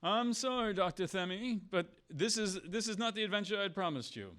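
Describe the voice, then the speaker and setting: A man's voice: high voice, lively intonation, a little fast, fairly bright tone. A man playing an earnest scientist doctor in a science-fiction radio play. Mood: apologetic. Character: earnest male scientist doctor trapped in a time loop.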